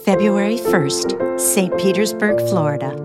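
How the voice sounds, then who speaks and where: A woman playing a shy, naive, romantic forty-year-old spinster in a radio play, excitable and easily flustered. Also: mid-range voice, a little slow, over loud music, lightly acted. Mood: dreamy, excited. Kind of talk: radio play acting, diary narration and dialogue.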